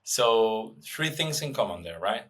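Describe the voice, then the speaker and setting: A man's voice: on the low side, animated, measured pace, fairly bright tone. A young man from Argentina, an English speaker, answering interview questions casually with many fillers and restarts. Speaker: guest, venture investor.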